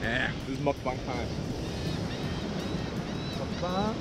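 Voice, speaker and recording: A man chatting casually as a vlog host outdoors, excitable and exclamatory, often repeating himself. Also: mid-range voice, takes it slowly, loud music behind the voice. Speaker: vlog host filming a fishing trip. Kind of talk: casual chat. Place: open ocean beach, outdoors. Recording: loud surf and wind noise. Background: waves and outdoor ambience.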